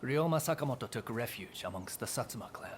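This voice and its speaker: An actor playing a sober narrator, reading scripted history for a samurai video game. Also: male voice, mid-range voice, expressive, fast talker, slight background noise, lightly acted.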